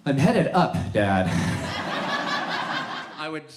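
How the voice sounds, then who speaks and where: A male comedian doing a loud, punchy stand-up set, breaking into sung lines. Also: mid-range voice, big pitch swings, drawn-out words, roomy sound, very noisy.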